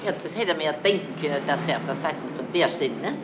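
A woman's voice: sits low, lively intonation, fast talker. A woman acting a blunt, grumbling character in a 1970s German radio play. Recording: noisy vintage radio recording.

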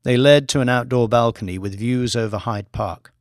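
A male narrator reads a thriller novel as an audiobook. His delivery is measured and dramatic, in the manner of scripted storytelling. The recording is close and dry, with very little room in it. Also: medium pitch, dry recording.

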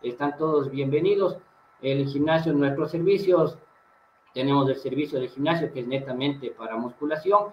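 Ecuadorian gym owner, high and earnest, roomy muffled call line, middle-aged man. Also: moderate pace, a little hiss or hum. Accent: Ecuadorian Spanish. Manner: earnest, rising emphatic questions. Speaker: gym director, interview guest. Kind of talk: remote interview. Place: indoor room, video call. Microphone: webcam mic on a video call. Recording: roomy, slightly dull video call audio.

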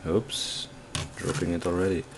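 A man's voice: deep voice, flat delivery, measured pace, a little hiss or hum. A man with a Dutch accent giving casual unboxing commentary, thinking aloud in a hesitant way. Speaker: tech reviewer. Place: indoors at a desk in a room.

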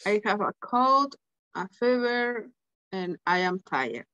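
A woman whose first language is Spanish, reading aloud haltingly as a student in an English lesson. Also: medium pitch, sing-song, sharp tone, smooth, muffled recording. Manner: slow, halting reading with hesitations.

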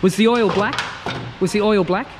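Cheeky Australian host, high voice, light shop noise behind. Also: male voice, steady tone. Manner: cheeky, teasing, fast banter. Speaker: vlogger host. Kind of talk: casual joking chat. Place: large indoor garage and bike shop.

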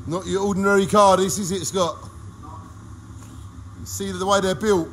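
A man's voice: sits high, fairly even intonation, moderate pace, a bit bright, a little hiss or hum. A middle-aged man filming himself on his phone at an outdoor car wash, chatting to viewers like a showman. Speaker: vlogger. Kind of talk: cheerful vlog commentary. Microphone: phone held at arm's length.

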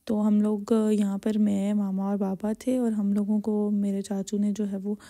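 A young Pakistani woman with a Urdu accent tells her trip in a relaxed, chatty voice-over. Her tone is dark and mellow, edged with a gravelly fry. She keeps her pitch flat and even, padding sentences with easy fillers. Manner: chatty, filler-heavy storytelling. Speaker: vlogger. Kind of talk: casual vlog voice-over narration.

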